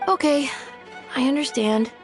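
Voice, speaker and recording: An actress playing a timid, sweet little child in a video game, speaking in a hesitant, childlike way. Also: female voice, sits high, a little slow. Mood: grateful, meek. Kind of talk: dramatic video game acting. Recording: loud music behind voice.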